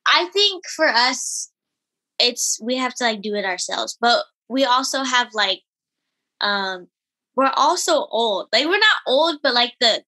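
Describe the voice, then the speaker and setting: A woman in her twenties, an elite gymnast and interview guest, talking in a relaxed, giggly way with many fillers. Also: on the high side, a little slow. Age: 20s. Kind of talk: casual interview chat.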